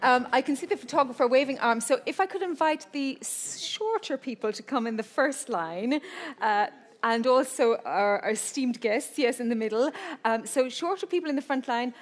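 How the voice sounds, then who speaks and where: A woman's voice: sits high, expressive, normal speed. Upbeat woman hosting a live ceremony. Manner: upbeat, chatty, self-correcting with small laughs. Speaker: ceremony host. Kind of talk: live award ceremony announcing. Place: large hall with audience. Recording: live event audio with faint crowd and noise.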